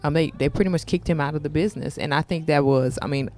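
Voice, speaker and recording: A woman's voice: sits low, fast, a little mellow. A woman from New York hosting a podcast discussion, chatting loosely and often repeating words as she starts her thoughts. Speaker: podcast host. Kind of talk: casual discussion with read sponsor segment. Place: Houston.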